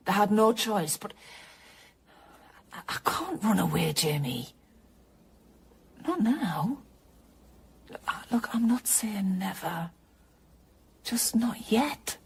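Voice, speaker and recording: Radio drama determined journalist wife, husky mid-range voice, naturalistic and stubborn. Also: female voice, medium pitch, lively intonation, takes it slowly, pauses often, full character voice. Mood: strained, pleading. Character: stubborn, driven journalist mother, a worried wife.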